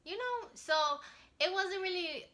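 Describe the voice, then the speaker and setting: A woman's voice: very high voice, lively intonation, a little slow, fairly bright tone. A young woman vlogger telling personal stories in a casual, slangy, chatty way, laughing now and then. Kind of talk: casual storytelling to camera.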